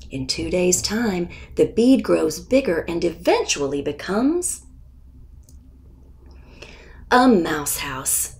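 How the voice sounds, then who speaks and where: A woman narrates a children's nonfiction picture book in a fairly low voice with some gravelly creak. She reads very slowly and deliberately, giving expression to the text and pausing before key words. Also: darkish tone. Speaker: author reading aloud.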